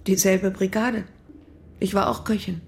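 Actress as a creaky, mellow, guarded sick woman. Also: medium pitch, steady tone, measured pace, natural voice. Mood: guarded, wary. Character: gravely ill East German woman, guarded and grieving. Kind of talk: German radio drama dialogue.

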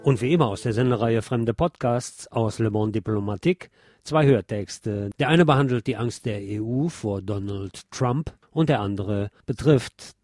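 Man presenting in a dark, low-key voice. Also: dry recording, clean studio sound. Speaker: radio show host. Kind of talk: spoken programme introduction.

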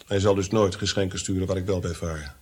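An actor playing a brooding, guilt-haunted nobleman in a Dutch radio drama, theatrical, moving between hushed awe and agitation. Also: low voice, fairly even intonation, fairly quick, slightly rough. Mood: bitter, melancholy.

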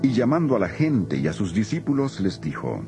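A man's voice: on the low side, sing-song, moderate pace. A man narrating a dramatized Bible in a solemn storytelling style. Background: soft music.

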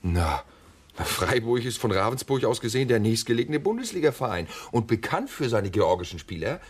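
An actor playing an eager, talkative, pedantic police detective in a German radio play, earnest and explanatory. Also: male voice, mid-range voice, very animated, fairly quick, slightly rough. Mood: earnest, explaining. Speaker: actor in radio crime drama.

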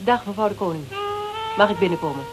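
A woman's voice: medium pitch, fast talker, over music, close to an everyday voice. An actress playing a secretive, tough, self-assured female snoop with a wry, probing manner in a radio drama. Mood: cool, wry. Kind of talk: Dutch radio drama acting.

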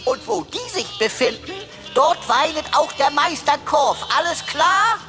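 A high, highly animated voice of a sassy robot companion. It mocks with pompous flourishes and slang, in a sci-fi radio play with music underneath. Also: female voice, a little slow, heavily acted. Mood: mock-pompous, sarcastic. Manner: mocking, mock-pompous, slangy. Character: cheeky, sarcastic wisecracking robot sidekick of plastic and metal. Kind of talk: radio drama acting.

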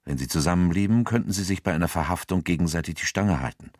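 An actor as the sober narrator of a crime radio drama, reading scripted literary prose. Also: male voice, low voice, fast, a little mellow, no echo, clean studio sound, natural voice. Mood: sober, factual. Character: sober male omniscient narrator of a crime story.

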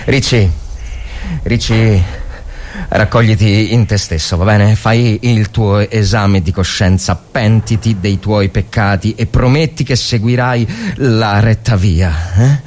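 A man's voice: fairly low pitch, slow pace, a little mellow. Man as an impassioned, fatherly army lieutenant. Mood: solemn, tender. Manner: impassioned, emphatic. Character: agitated, compassionate army lieutenant, paternal and solemn.

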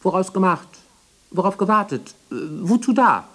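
An actor playing an irritable, obsessive male archivist in a radio play, gruff and clipped as he mutters and orders. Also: high voice, expressive, normal speed, a bit bright. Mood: barking, impatient. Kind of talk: 1990s German radio play acting.

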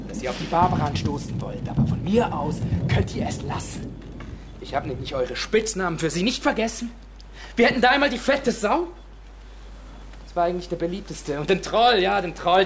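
An actor playing a bitter, defiant young village man, speaking with sarcastic, halting emphasis in a radio drama. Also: high voice, background noise. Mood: angry, insistent.

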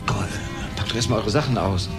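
An actor playing a sardonic, self-mocking, sociable old university friend in a German radio play, with ironic, casual asides. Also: male voice, lowish voice, fairly quick, gravelly. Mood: wry, helpful. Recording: 1980s radio drama with music.

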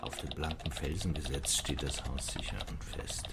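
An actor playing a laid-back, terse computer programmer in a German radio drama, casual and dry. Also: male voice, rumbling low, steady tone, moderate pace, over loud music. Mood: measured, reciting.

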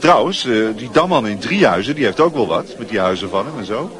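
A man's voice: mid-range voice, animated, fairly quick, close to an everyday voice. A man acting a brash, chummy young school leaver who schemes and boasts, in a radio drama. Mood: confiding, smug. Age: young adult. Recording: busy crowd noise behind. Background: crowd chatter.

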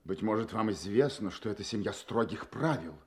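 A man's voice: lowish voice, lively intonation, moderate pace, a little mellow, natural voice. A man acting an anxious, proud royal client in an audio drama, speaking formally and with earnest emphasis. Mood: agitated.

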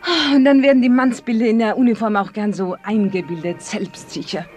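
A woman's voice: fairly high pitch, steady tone, fairly quick, darkish tone, soft background music, close to an everyday voice. An actress playing a plain-spoken, wary young woman in a radio play. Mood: chatty, candid. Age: young adult. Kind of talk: natural radio play dialogue.

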